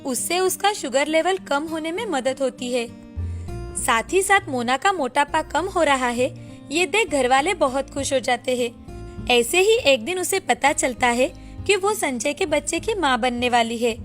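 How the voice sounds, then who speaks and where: Give the narration to a woman with a high-pitched voice. She reads a scripted moral tale as its storyteller. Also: expressive, measured pace, soft background music.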